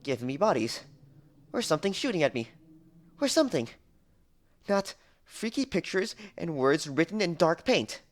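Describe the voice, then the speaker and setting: A man's voice: on the high side, very animated, moderate pace, pauses often, voice pushed up. A man voicing a brash, jumpy young female pony heroine in an audiobook, recorded on a noisy amateur headset. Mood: angry, rattled.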